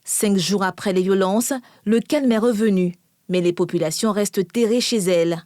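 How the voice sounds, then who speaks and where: A woman's voice: medium pitch, normal speed, darkish tone, dry recording. A woman reporter narrating a scripted television news report.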